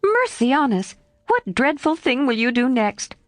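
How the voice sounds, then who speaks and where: Lady reader doing a jumpy excitable young girl, squeaky and bouncing all over. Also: measured pace, no echo, clean studio sound. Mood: teasing. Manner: exclaiming, high and animated. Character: young woman, impulsive, tomboyish, warmly excitable.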